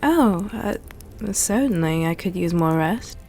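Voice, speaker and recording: Woman playing a gentle, coaxing male adventurer. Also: lowish voice, lively intonation, a little slow, faint music behind. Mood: polite, playful.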